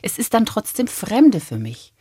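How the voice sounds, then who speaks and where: A woman's voice: medium pitch, huge pitch swings, unhurried, mellow, breathy edge, no echo, clean studio sound. A middle-aged woman, a television presenter, chatting casually, laughing often and restarting her sentences. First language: German. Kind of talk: casual interview conversation.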